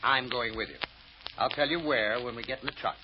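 A man playing a hesitant bluffer who gives orders on the sly, halting and improvising, in an old radio detective drama. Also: mid-range voice, natural voice. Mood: bluffing, brisk. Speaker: actor. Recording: dull, noisy old radio recording with clicks.

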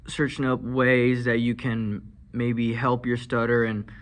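A young man with a stutter, talking casually in a personal vlog, soft-spoken with many fillers, into a small handheld mic in a classroom. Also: mid-range voice, flat delivery, slow. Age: young adult. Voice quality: stutters, with blocks and repeated sounds. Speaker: vlogger. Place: indoor classroom. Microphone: handheld lavalier mic held near mouth.

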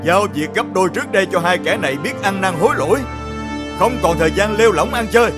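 A man voicing a stern, imperious emperor of heaven in an animated fairy tale, authoritative and scolding. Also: high voice, fast talker, music in the background. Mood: solemn decree.

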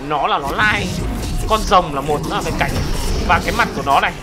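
An excited gaming host commentates on gameplay in an exclamatory way. The voice has a medium pitch and talks to viewers like friends. Music plays clearly behind the commentary. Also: fast talker. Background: background music.

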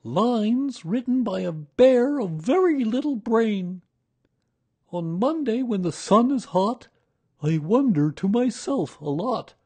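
Pooh, a man doing a slow, earnest little bear. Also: sits high, expressive, warm tone, slightly rough, voice pushed up, exaggerated voice. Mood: reciting. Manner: hesitant, earnest, slow-spoken. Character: gentle, simple-minded male bear. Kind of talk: storybook reading.

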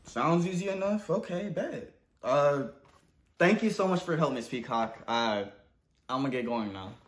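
A man's voice: a little high, animated, normal speed, a little hiss or hum. A young man with an American accent playing an awkward student in a casual comedy roleplay, slangy and hesitant. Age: young adult. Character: naive, awkward male student. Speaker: host of a parody channel. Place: indoors in a living room. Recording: roomy, echoey indoor sound.

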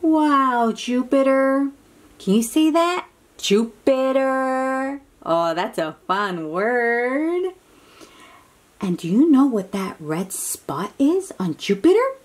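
A woman hosting a picture-book read-aloud for young children, exclaiming and asking coaxing questions in an animated manner. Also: high voice, slow pace.

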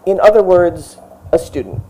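A middle-aged woman pastor giving a measured, explanatory sermon at a lectern mic under an outdoor tent. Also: lowish voice, lively intonation, dark tone, slight background noise, low-quality recording. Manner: measured, explanatory preaching with emphatic rises. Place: outdoor tent service in front of a brick church. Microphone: stand mic at a lectern, far camera.

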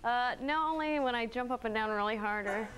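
A woman's voice: a little high, brisk, background noise. Candid young woman, talk show guest. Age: 20s. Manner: easygoing, candid, laughs along. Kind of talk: casual answers on a talk show. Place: TV studio stage.

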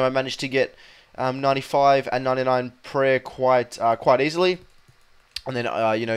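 A young man with a raspy voice from a cold, rambling casually with many ums and restarts as he comments over his gameplay. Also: medium pitch. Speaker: gaming video host.

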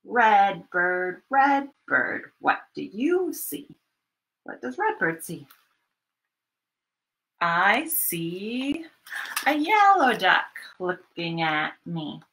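A woman in her thirties leading a children's storytime, speaking in an animated, sing-song way with repeated phrases for young listeners. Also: medium pitch, very slow, long pauses. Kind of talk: children's storytime read-aloud and singalong. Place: small furnished room at home, indoors.

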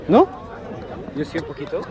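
A man's voice: fairly high pitch, huge pitch swings, moderate pace, a crowd in the background. A young man, chatting and teasing as he films a casual vlog tour on busy city streets. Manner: chatty, teasing, laughs often. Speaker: vlogger.

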